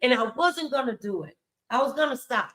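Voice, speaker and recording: Lady host doing casual faith motivation talk, real bright voice, emphatic, talks right to viewers. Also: high-pitched, very animated, a little fast. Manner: emphatic, encouraging, addresses viewers directly.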